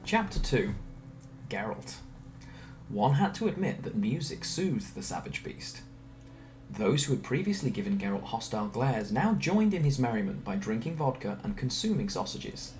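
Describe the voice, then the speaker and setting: A man reading a scripted story aloud in a theatrical, expressive way. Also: mid-range voice, normal speed. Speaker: storyteller reading fan narration. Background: background music.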